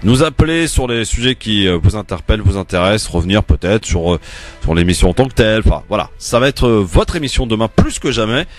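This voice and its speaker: Low-ish male voice running a radio phone-in, relaxed and chatty. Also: quick pace, soft background music. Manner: relaxed, chatty, many fillers. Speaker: radio call-in host. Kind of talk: radio call-in show hosting. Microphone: studio mic.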